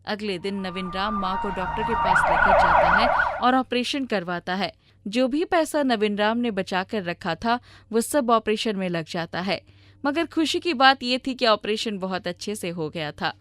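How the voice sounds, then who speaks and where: A woman's voice: medium pitch, wildly swooping pitch, normal speed, fairly bright tone, dry recording. A woman reading scripted storybook narration as the storyteller.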